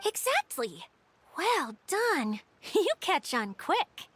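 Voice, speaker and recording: A woman's voice: very high voice, big pitch swings, drawn-out words, fairly bright tone, heavily acted. A woman voicing a small, cheerful female spirit guide in a video game, polite and eager with emphatic delivery. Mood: excited. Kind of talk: animated video game voice acting. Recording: background music under the voice.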